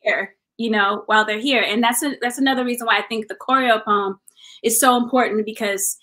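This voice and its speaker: A woman's voice: a little high, steady tone, a little fast, bright, forceful tone. A middle-aged American woman, a guest artist, giving earnest, reflective interview answers on a video call and restating phrases for emphasis. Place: indoor room at home, video call. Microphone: video call mic.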